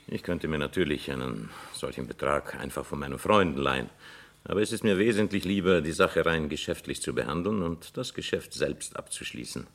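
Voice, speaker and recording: An actor playing a haughty, commanding nobleman in a German radio drama, speaking in a formal, measured, imperious way. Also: extremely low pitch, lively intonation, gravelly, exaggerated voice. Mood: businesslike, assured. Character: haughty, commanding nobleman client of a London banker.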